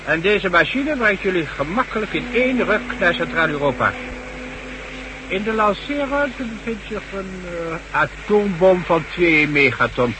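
A bossy blunt official in a dutch radio play, highish lively voice, noisy old recording with hum. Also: male voice, a little slow. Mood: businesslike, grave. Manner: commanding, dry, blunt. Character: authoritative male official briefing a secret mission, blunt. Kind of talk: Dutch radio drama acting.

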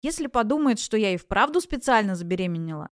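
A woman's voice: medium pitch, very animated, fast talker, dry recording, clean studio sound, lightly acted. A woman voicing an anxious young female student in an audiobook, confiding her worries earnestly and vulnerably. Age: young adult. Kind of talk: audiobook character voice.

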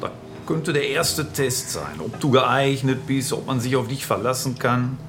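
An actor playing a jovial, domineering small-town power broker in a radio drama, blunt, folksy and patronising. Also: male voice, medium pitch, measured pace, fairly bright tone, soft background music, natural voice. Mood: firm, patronising. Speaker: local political fixer. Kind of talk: naturalistic radio drama acting.

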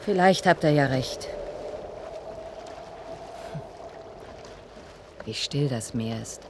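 An actress playing a weary, shaken researcher in a German radio play, hesitant and earnest, with a breathy, husky voice. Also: female voice, extremely low pitch, animated, moderate pace, background noise. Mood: anxious, doubtful. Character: weary, shaken female researcher recounting a disaster.

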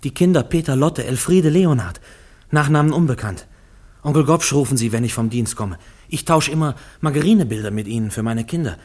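A simple, dutiful railway man, played by an actor in a radio play. His voice is husky and mid-pitched, and he talks in a plain, earnest working man's way. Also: lively intonation, brisk, darkish tone, natural voice. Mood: earnest, homely. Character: simple, dutiful railway guard accused in court, desperate. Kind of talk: German radio play acting.

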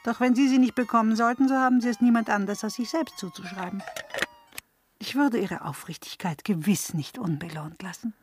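Woman acting a high-voiced, sly young maid. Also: a little fast, over music, close to an everyday voice. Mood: coy, coaxing. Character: sly, coaxing young maidservant fishing for secrets. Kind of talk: theatrical radio drama acting of classic comedy.

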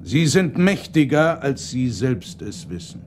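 An actor playing a mysterious, solemn magician in a radio play, speaking in a ceremonious, formal way. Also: male voice, medium pitch, sing-song, darkish tone, a little hiss or hum. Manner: ceremonious, measured, formal address. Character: mysterious solemn male magician, grand and secretive. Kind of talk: German radio play acting.

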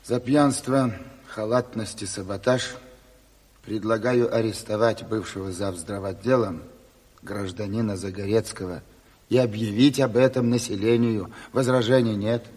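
A man's voice: lowish voice, steady tone, unhurried, darkish tone, breathy edge. An actor playing a stern, decisive revolutionary chairman who gives curt orders in a radio drama. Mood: commanding. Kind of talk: Soviet radio play acting. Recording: long hall reverb on 1970s radio play.

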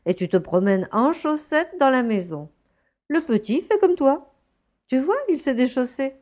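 A woman playing a kindly, homely, gently teasing farm grandmother in an audio drama. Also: high voice, animated, a little slow. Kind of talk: French-language audio drama acting.

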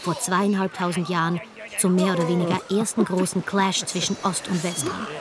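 A woman narrating a German radio drama as a scripted story, in a measured storytelling manner. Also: lowish voice, fairly even intonation, a crowd in the background, natural voice. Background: music.

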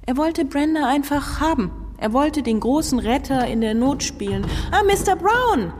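A woman's voice: sits high, lively intonation, moderate pace, long reverb tail, noisy background. An actress playing a candid, cool-headed young woman from a wealthy family in a German radio crime drama, frank and decisive. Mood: frank, scornful.

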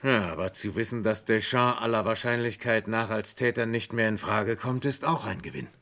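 A man playing a courteous, methodical and firm French police commissioner in a formal, measured German radio crime drama style of the mid twentieth century. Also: fairly low pitch, fairly bright tone. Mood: deliberating.

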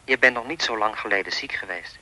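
A man's voice: lowish voice, quick pace, bright, forceful tone, low-quality recording. An actor playing a creepy, insistent anonymous caller in a radio play, needling and insinuating as he speaks. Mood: taunting, smug.

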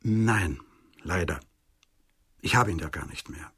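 Weary middle-aged lawyer, husky, thoughtful and halting, for a radio crime play. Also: male voice, deep voice, lively intonation, fairly bright tone, heavily acted. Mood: wistful, reflective. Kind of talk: German radio crime drama acting.

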